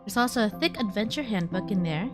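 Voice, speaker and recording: A woman's voice: medium pitch, lively intonation, fast. A woman game streamer giving casual live commentary, muttering her reactions as she plays. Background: game music.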